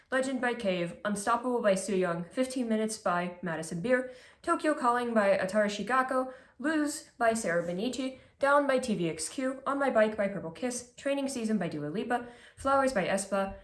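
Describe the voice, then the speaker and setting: A woman's voice: on the high side, animated, normal speed. A congested woman hosting a music ranking video, reading scripted commentary in a casual, slightly sardonic way. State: congested, stuffy nose. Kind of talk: scripted list commentary read aloud. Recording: echoey room.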